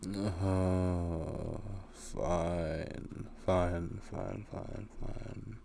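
A man playing a sleepy, needy boyfriend shaken by a nightmare, murmuring haltingly in an intimate improvised ASMR roleplay. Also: low voice, fairly even intonation, creaky, dark tone, slight background noise, heavily acted. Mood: reluctant, uneasy.

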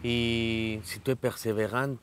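A man in his thirties from Mexico, with a Mexican Spanish accent, speaking French as a learner; an adult literacy student giving an earnest, halting testimonial outdoors in a riverside park, with many restarts and fillers. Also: medium pitch, level tone, a little hiss or hum. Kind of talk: filmed testimonial interview.

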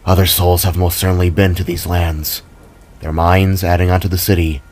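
A gruff green creature of living matter, voiced by a man, low and ominous. It speaks as a foreboding guide in a fantasy tale. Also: little pitch movement, normal speed, a little mellow, faint music behind, close to an everyday voice. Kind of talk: dramatic story character reading.

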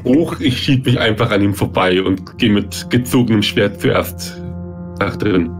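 Male role-play player, fairly low pitch, casual, prominent guitar backing. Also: measured pace. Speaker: tabletop role-playing player. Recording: clear guitar music behind the voice. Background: guitar music.

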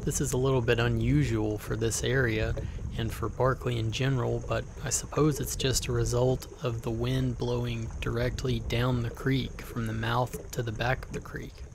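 Man narrating with gravelly creak, little intonation. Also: medium pitch, level tone, normal speed, background noise. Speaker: sailing vlogger, narrator. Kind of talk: scripted voice-over narration. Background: water and boat sounds.

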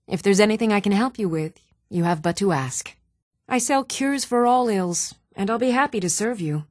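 An actress plays a townswoman shopkeeper from a fantasy role-playing game. Her voice sits at a middle pitch, with measured, theatrical phrasing and careful enunciation. The recording is dry, with hardly any room sound. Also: expressive, darkish tone, dry recording, close to an everyday voice. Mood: helpful, businesslike. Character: even-toned adult townswoman, shopkeeper in a fantasy world. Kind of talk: scripted video game voice acting.

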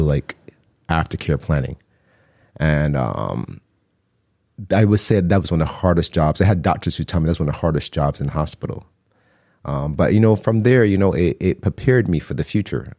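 A man's voice: very deep voice, animated, normal speed, darkish tone, no room sound. A middle-aged man from Barbados, a guest telling his story conversationally, close on a studio microphone. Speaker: guest, mental health counselor and artist. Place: small indoor podcast studio. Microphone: close studio microphone on boom arm.

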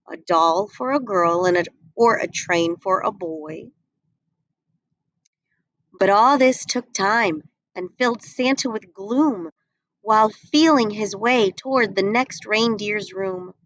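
A woman's voice: medium pitch, a bit bright, dry recording, rough recording. A young woman reading a picture book aloud, expressively, on a webcam at home. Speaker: reader.

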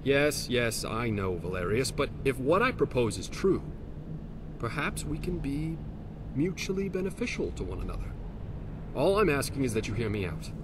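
Casting: male actor for a genteel middle-aged armored noble in a fantasy game, deliberate delivery with animated pitch swings. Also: background noise, close to an everyday voice. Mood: courteous, persuasive. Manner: formal, warm, deliberate. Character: courteous middle-aged armored nobleman, returned to rebuild his town. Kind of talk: scripted fantasy game dialogue.

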